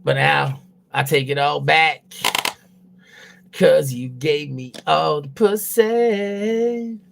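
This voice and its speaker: A man putting on the voice of a smooth late-night male radio DJ, crooning slowly and low. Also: noisy background, exaggerated voice. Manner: slow, low, crooning delivery.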